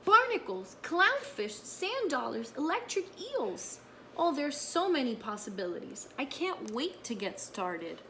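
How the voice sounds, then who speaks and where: Lady doing a friendly hermit crab for a kids book, expressive, polite, eager, noisy audio. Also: high voice, a little slow, pitched above the performer's own voice, heavily acted. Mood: excited.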